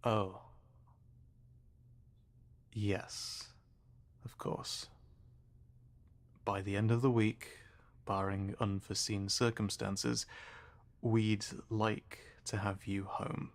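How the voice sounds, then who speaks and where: A slow, coldly polite male scientist interrogator, put on by a man running a tabletop game. The voice sits low and gravelly. It drags each word out with soft, quiet menace. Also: flat delivery. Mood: coaxing. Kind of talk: voicing an NPC in a tabletop game.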